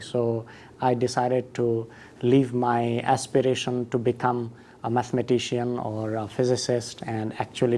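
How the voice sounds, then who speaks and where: A man's voice: mid-range voice, warm tone, a little hiss or hum. A man, a school director telling his story in a conference interview, thoughtful with fillers and restarts. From Nepal.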